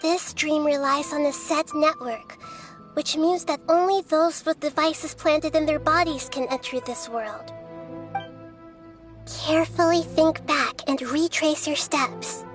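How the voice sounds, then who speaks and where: Tiny, very high-pitched wise child goddess. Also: female voice, soft background music. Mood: calm, probing. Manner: measured, earnest, philosophical. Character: small childlike female god, wise, gentle, resolute. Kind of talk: video game voice acting.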